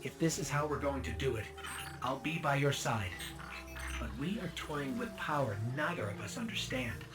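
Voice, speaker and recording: A man's voice: medium pitch, lively intonation, moderate pace, lightly acted. Man as a worried robot companion over music. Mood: loyal, uneasy. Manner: earnest, worried. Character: loyal, anxious little male robot companion. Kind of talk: video game story dialogue.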